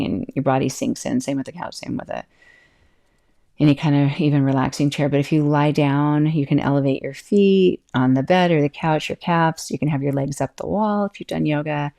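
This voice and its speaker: A middle-aged woman and yoga teacher hosting her own podcast in a chatty, self-interrupting way, laughing often, with a calm voice that can turn loud. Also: sits low, normal speed, gravelly. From California. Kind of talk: casual solo podcast talk.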